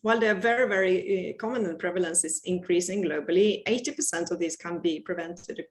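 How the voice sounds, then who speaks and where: Middle-aged Swedish woman, lowish voice, informal webinar presenter on a home mic. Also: fairly quick, poor audio. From Sweden. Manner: chatty, self-deprecating, restarts often. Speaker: guest speaker, researcher.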